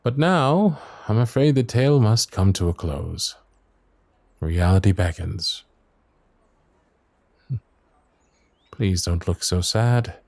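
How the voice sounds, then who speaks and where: A man playing a young, dutiful and gentle royal knight in an intimate fantasy audio roleplay, speaking with formal, earnest courtesy. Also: lowish voice, expressive, unhurried, vocal fry, no echo. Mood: tender, regretful.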